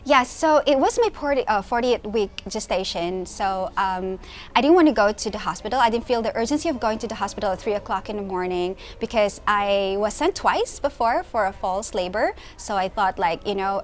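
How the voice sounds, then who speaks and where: A woman in her late thirties telling her story in a brisk, matter-of-fact way. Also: mid-range voice, lively intonation. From Singapore. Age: 30s. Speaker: mother sharing her story. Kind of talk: personal testimony.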